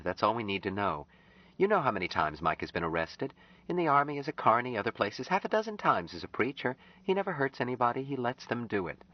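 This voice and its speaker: An earnest young woman, devoted and gently insistent, pitched well above the male narrator's own low voice. A man reads her in an audiobook, and her tone swings up and down. The audio is a little dull. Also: dry recording.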